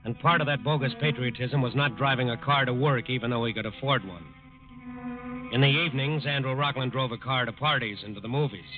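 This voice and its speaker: A man's voice: mid-range voice, fairly quick, music in the background, close to an everyday voice. A man acting as the grave narrator of a 1940s radio crime drama. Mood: solemn, ironic. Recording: muffled old radio recording.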